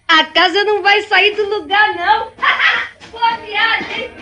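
A woman's voice: very high-pitched, slow, pushed and bright, heavily acted. A woman playing a stubborn, teasing young rural daughter in a radio drama, with a Brazilian accent.

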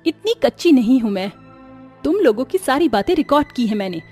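A woman's voice: sits high, very animated, fairly quick, darkish tone, slightly rough, soft background music, voice pushed up, heavily acted. A woman voicing a bold, dramatic young daughter-in-law in a cartoon story, with exclamatory, theatrical delivery. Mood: angry, accusing. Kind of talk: Hindi cartoon story acting.

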